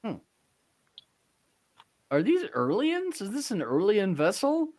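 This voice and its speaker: A man's voice: high voice, huge pitch swings, takes it slowly, darkish tone. A man playing a tabletop game on a podcast, chatting casually and riffing with playful enthusiasm.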